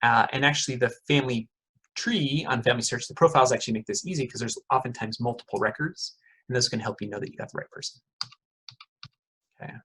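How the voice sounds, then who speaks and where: Middle-aged prof guy lecturing casually, kinda husky, asks the room questions. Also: mid-range voice, fast, fairly bright tone, rough recording. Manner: friendly, quizzes the audience, many fillers like uh and okay. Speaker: economics professor giving a guest talk.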